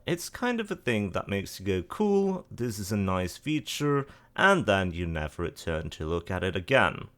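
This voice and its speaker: A man's voice: lowish voice, big pitch swings, moderate pace, hardly any pauses, fairly bright tone. A man narrating a casual video essay as its host, with wry, sarcastic asides.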